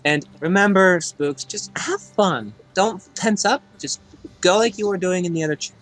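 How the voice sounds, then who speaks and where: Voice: mid-range voice, normal speed, a bit bright. A voice actor co-hosting a gaming video in casual chat, encouraging and guiding a newcomer. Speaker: co-host and voice actor.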